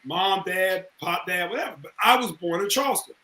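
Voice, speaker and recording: A man from Atlanta co-hosting a casual talk show, with animated, playful banter. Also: high-pitched, fairly quick, roomy sound. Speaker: co-host. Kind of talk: casual chat.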